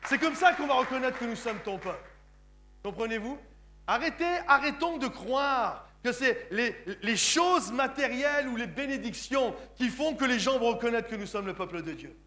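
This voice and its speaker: High, bright-voiced French pastor preaching. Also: male voice, animated, moderate pace. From France, of Sicilian parents. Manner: emphatic, repeatedly asks the congregation "Comprenez-vous?". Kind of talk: sermon. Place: church.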